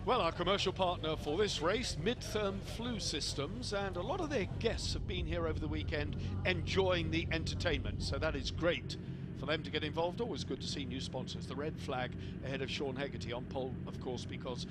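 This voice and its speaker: High-voiced man commentating a race. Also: a little fast. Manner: excitable, rises sharply at on-track incidents. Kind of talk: live motorsport commentary. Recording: loud engine noise behind. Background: racing engines.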